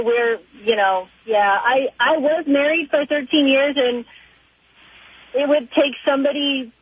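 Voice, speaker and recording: A narrow, tinny telephone recording of a middle-aged woman. Her voice is high and husky, and she talks casually as a caller, hesitating and rambling as she goes. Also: no echo. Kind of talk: casual conversational phone call. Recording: tinny narrow phone line.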